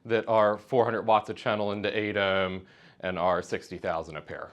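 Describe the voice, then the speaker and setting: A man's voice: on the low side, flat delivery, normal speed. A man giving a precise, matter-of-fact technical explanation as a product representative in conversation. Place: hi-fi trade show exhibit.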